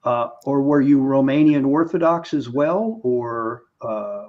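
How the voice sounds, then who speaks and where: A man's voice: mid-range voice, animated, slow. A man hosting a live-stream interview, asking long rambling questions with restarts. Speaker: host. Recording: dull lo-fi sound.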